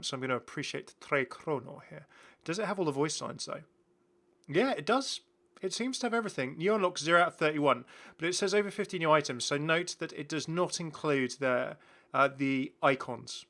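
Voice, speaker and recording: A man's voice: a little high, sharp tone. A man presenting a gaming video in rambling, casual commentary, chatty and enthusiastic as he goes off on tangents.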